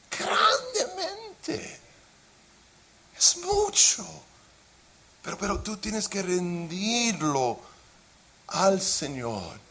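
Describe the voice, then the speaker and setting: A man's voice: sits high, fairly bright tone, husky. A man preaching a sermon in Spanish, though English is his first language, with impassioned delivery and occasional slips into English. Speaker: pastor.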